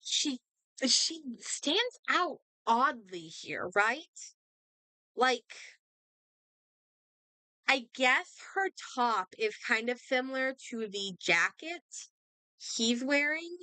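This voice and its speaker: A young woman with a sore throat talks her way through a game in a slow, thinking-aloud ramble. Her voice sits a little high and bright, with a smooth, clean tone. The sound is dry and close, with no room around it. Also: sing-song, no echo, low-quality recording. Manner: chatty, thinking aloud, trailing off. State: hiccups. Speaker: gaming streamer. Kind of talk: casual commentary while playing a game.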